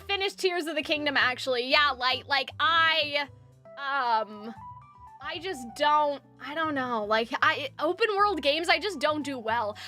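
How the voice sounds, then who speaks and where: A woman's voice: high-pitched, bright, forceful tone. A young adult woman streamer in casual chat, rambling with fillers and restarts, her voice dry from lack of water.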